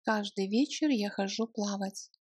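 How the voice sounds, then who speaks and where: A woman's voice: medium pitch, normal speed, darkish tone, breathy edge, no room sound, clean studio sound. A woman language teacher drilling short phrases, saying each one, breaking it into chunks, then repeating it. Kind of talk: slow phrase drill with translations.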